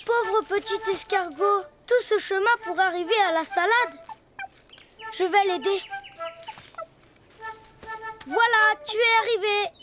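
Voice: very high-pitched, takes it slowly, pauses often, pushed and bright, faint music behind, heavily acted. A performer voicing a cheerful, proud little boy donkey in a preschool cartoon, with a childish, earnest singsong manner. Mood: proud, content.

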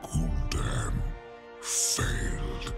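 A brutal game-cinematic orc, very gravelly, drawn out extremely slowly. Loud music swells behind the fierce theatrical voice. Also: male voice, rumbling low, very animated, heavily acted. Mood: roaring. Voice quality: gravelly roar. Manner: slow, theatrical.

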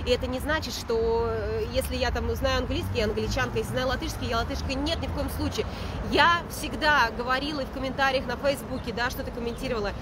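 A woman in her thirties from Latvia, giving an earnest, emphatic street interview outdoors, often adding a checking "да". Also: high voice. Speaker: protester. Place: outdoors on a city square by a government building. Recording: street with loud traffic and crowd noise.

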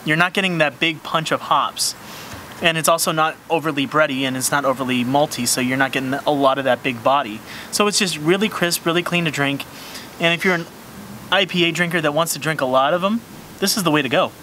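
A young man with a slightly high, bright voice talks through a beer tasting on a sunny patio. He is enthusiastic and descriptive, often repeating words as he searches for them. Faint outdoor sound sits behind him. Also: brisk, slight background noise. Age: young adult. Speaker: beer review host. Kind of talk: casual beer tasting review.

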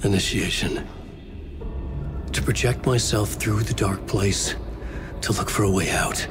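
A man's voice: lowish voice, fairly even intonation, unhurried, loud music behind the voice, lightly acted. An actor playing a haunted, weary male novelist in a video game, speaking in an earnest, brooding way. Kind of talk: dramatic video game acting.

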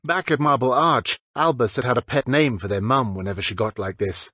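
Male fiction narrator, husky texture, medium pitch, close dry recording. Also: a little fast, bright, forceful tone, no room sound. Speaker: audiobook narrator.

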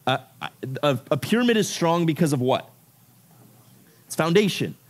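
A man's voice: a little high, normal speed. A man from Ecuador giving a youth sermon to a room of about fifty teens. He talks casually and keeps dropping in "like" and "right?". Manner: casual, animated, filler-heavy with frequent "like" and "right?". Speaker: youth pastor.

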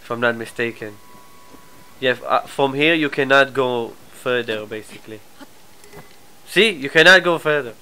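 A man with a cold and a stuffy nose, chatting casually through a live game stream, his asides often trailing off quietly. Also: mid-range voice, moderate pace, long pauses, clear, resonant tone, rough recording. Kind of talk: live gameplay commentary.

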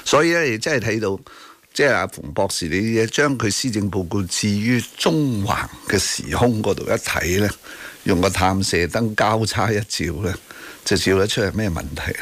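A man's voice: on the low side, moderate pace, a bit bright. A man with a Hong Kong Cantonese accent hosting a radio talk show, giving sardonic political commentary in a didactic, corrective way. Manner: didactic, corrective, sardonic, often ending on tag questions. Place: radio studio.

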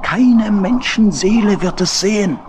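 An actor playing a secretive, well-off water-mouse boat builder in a children's audio play, hesitant and conspiratorial. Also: male voice, high-pitched, a little slow. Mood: reassuring. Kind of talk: German children's radio play acting. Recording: noisy old audio play record.

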